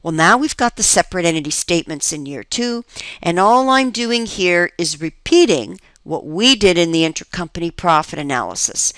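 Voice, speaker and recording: Woman, lowish voice, accounting instructor, explanatory and emphatic, rhetorical check-in questions, close dry recording. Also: fairly bright tone, clear, resonant tone, no room sound. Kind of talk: instructional lecture, walking through worked examples.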